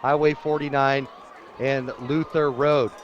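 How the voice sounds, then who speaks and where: An American man doing live radio play-by-play of a football game in an excited, emphatic way. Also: mid-range voice, takes it slowly, muffled recording. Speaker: play-by-play sports announcer. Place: outdoor football stadium. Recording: stadium crowd behind, outdoor game broadcast. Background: stadium crowd.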